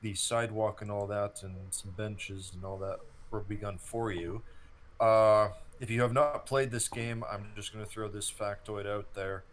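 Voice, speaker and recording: A man's voice: on the low side, steady tone, moderate pace. A thirty-year-old man co-hosting a gaming show, reminiscing and explaining at length in casual gameplay commentary.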